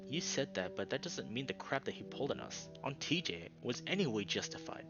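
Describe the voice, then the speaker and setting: A man's voice: medium pitch, big pitch swings, fairly quick, highs cut off, over music. A man voicing an earnest young man in a visual novel, reasoning hesitantly, conciliatory but reproachful. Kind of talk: visual novel character read-aloud.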